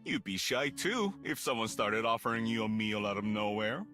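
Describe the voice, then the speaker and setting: A woman's voice: extremely low pitch, moderate pace, fairly bright tone, pitched below the performer's own voice. A woman voicing a cheerful, joking male fishing instructor in a visual novel, with encouraging, exclamatory delivery. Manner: jolly, swooping exclamations. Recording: music behind the voice.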